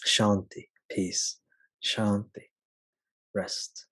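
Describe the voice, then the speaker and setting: A man guiding a meditation as a spiritual teacher, speaking gently and unhurriedly with long pauses. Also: fairly low pitch, flat delivery, dry recording. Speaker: spiritual teacher leading satsang. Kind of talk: slow guided meditation.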